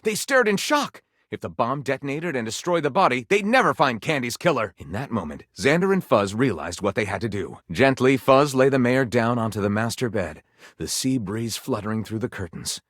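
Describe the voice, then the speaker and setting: A man's voice: medium pitch, very animated, normal speed, fairly bright tone, dry recording, clean studio sound. Melodramatic, swooping male storyteller. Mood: breathless drama, then tender melodrama. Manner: dramatic, mock-serious delivery with big swings. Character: melodramatic male storyteller narrating absurd pulp detective tales. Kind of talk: theatrical scripted game narration.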